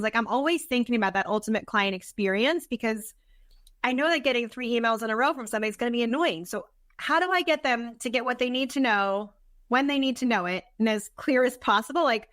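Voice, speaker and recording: A woman's voice: fairly high pitch, no room sound, clean studio sound. A woman being interviewed as a guest, talking casually and earnestly, often acting out little quotes of herself and others. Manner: earnest, animated self-quoting, informal. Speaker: podcast guest, business consultant.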